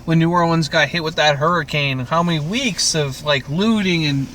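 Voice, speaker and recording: A man hosting a casual podcast chat about a TV show, with crude, profane, animated joking. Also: on the high side, moderate pace, a little hiss or hum. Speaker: podcast host.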